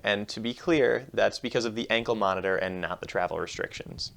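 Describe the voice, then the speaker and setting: A man hosting a comedy show, delivering a scripted monologue of deadpan, self-mocking jokes. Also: fairly low pitch, fairly quick, creaky. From United States. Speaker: host.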